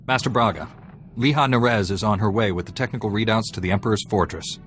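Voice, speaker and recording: Low, composed warrior hero played by a man. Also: fairly bright tone, natural voice. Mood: businesslike. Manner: measured, dry, wry. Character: composed, dryly witty heroic male Jedi warrior. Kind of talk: video game voice acting.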